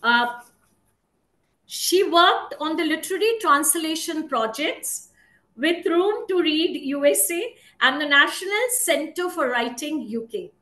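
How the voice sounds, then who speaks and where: A middle-aged woman with an Indian accent, formally introducing a speaker as host, reading carefully and haltingly over a video call. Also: sits high, expressive, bright, forceful tone. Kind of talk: formal introduction read from notes. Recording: roomy video-call sound.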